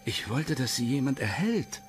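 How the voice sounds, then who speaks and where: A man's voice: mid-range voice, sing-song, normal speed, soft background music, lightly acted. A man playing an aggrieved, self-justifying middle-aged doctor under questioning in a German crime radio play. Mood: defensive, indignant. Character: defensive, indignant middle-aged male doctor suspect.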